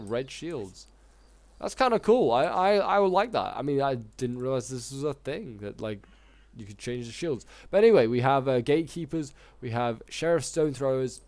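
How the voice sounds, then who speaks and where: Hoarse young man commentating a game. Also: medium pitch, a little mellow, no echo. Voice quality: slightly hoarse. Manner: casual, rambling, many fillers. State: sore throat. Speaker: gaming YouTuber casting a multiplayer battle.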